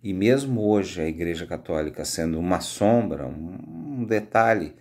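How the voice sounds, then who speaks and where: A Brazilian man speaking as a high school history teacher, giving an informal, conversational lecture with frequent tag questions. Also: very animated, unhurried, darkish tone. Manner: conversational, explanatory, frequent "né" tags.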